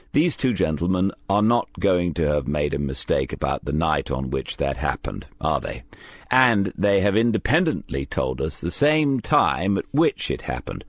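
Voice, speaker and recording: A man voicing a friendly but quietly insistent English police detective in an audiobook, questioning in a measured, conversational way. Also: rumbling low, no echo, exaggerated voice. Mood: probing.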